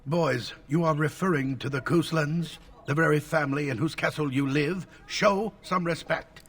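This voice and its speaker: An actor playing a stern, wry old tutor and scholar in a fantasy video game. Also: male voice, a little high, normal speed, a bit bright. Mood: stern scolding.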